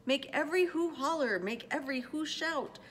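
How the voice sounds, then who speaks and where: A woman's voice: high-pitched, very animated, takes it slowly, a bit bright, long reverb tail, pitched above the performer's own voice, full character voice. A woman voicing an earnest, big-hearted male elephant from a storybook, calling out urgently and imploringly.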